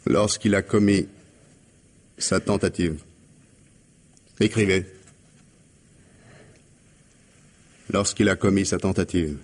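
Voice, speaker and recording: Husky, low-voiced stern police investigator. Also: male voice, a little mellow. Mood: commanding. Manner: commanding, deliberate, articulate, dictating orders. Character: stern, implacable male police investigator confronting a suspect. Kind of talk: 1950s French radio mystery acting.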